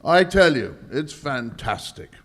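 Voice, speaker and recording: A man performs a pompous aristocratic bank governor in an old-style radio drama. The voice is raised a little and swings widely. Each emphatic, anxious phrase comes slowly and melodramatically. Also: on the high side, big pitch swings, a bit bright, full character voice. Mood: grave, baffled. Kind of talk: 1940s radio mystery acting, performed live.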